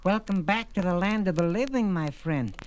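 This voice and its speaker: An actor playing a small, shriveled, scheming desert slave trader in a 1970s audio drama, with an oily, theatrical manner. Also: male voice, sits high, normal speed, slight background noise, lightly acted. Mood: smug, oily.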